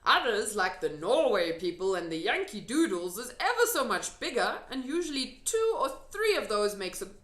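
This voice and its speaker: A woman voicing a friendly old male giant in a children's storybook, excitable and emphatic, with quaintly muddled grammar. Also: mid-range voice, normal speed, few pauses, voice pushed up. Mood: animated explaining. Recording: echoey room.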